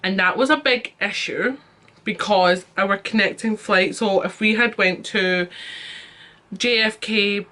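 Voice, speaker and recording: Young Scottish woman vlogger, exasperated storytime rant, bright forceful tone. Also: medium pitch, unhurried. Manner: chatty, exasperated storytelling with many fillers.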